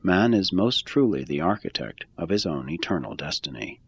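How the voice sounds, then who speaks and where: A man with a very low, husky voice reads a religious text aloud, his pitch rising and falling in lively arcs. The sound is dry and a little muffled. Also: moderate pace, no room sound. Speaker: reader. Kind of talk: scripted reading of a religious text.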